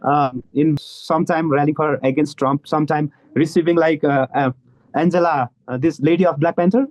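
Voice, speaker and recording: Man, Nepali accent, slightly high voice, hesitant, lots of fillers, video call interview. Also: steady tone, moderate pace, darkish tone, poor audio. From eastern Nepal. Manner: hesitant, many fillers and restarts. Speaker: guest expert. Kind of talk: conversational interview.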